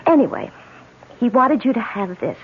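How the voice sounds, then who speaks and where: Over a noisy, tinny old radio recording, an effusive young American bride. She has a lively, fairly high voice and trusting, gushing delivery full of eager repeats. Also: normal speed. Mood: wistful, earnest. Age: young adult. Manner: gushing, breathless hesitations and repeats. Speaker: actress in a radio mystery. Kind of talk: radio drama acting.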